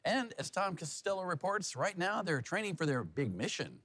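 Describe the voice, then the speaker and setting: A man's voice: sits high, sing-song, moderate pace, fairly bright tone, slight background noise. An American man anchoring TV news, with folksy, chatty asides between the scripted stories. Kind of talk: broadcast news with casual co-anchor banter.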